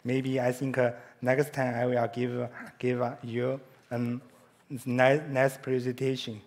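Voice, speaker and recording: A man with a Chinese accent, a native Chinese speaker, giving a conference presentation in halting English with frequent restarts and self-corrections. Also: medium pitch, completely flat delivery, darkish tone.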